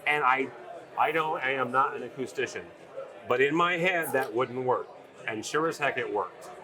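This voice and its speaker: Male trade show interviewer, medium pitch, informal and folksy, recorded amid a noisy crowd. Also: normal speed. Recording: crowd chatter at busy event. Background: crowd at a sales meeting.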